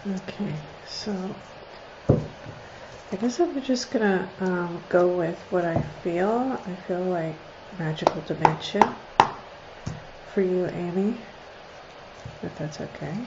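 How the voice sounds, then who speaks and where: A woman's voice: fairly low pitch, slow pace, pauses often. A congested woman with a nasal voice, casually reading oracle cards on a livestream, chatty, thinking aloud and laughing often. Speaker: oracle card reader. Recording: slightly dull lo-fi sound with faint noise.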